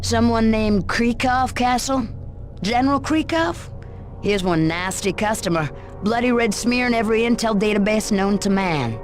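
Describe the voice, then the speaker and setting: An actress playing a sardonic, probing police interrogator in a video game cutscene. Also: female voice, fairly high pitch, normal speed, soft background music, lightly acted. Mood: dry, probing. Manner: sardonic, questioning.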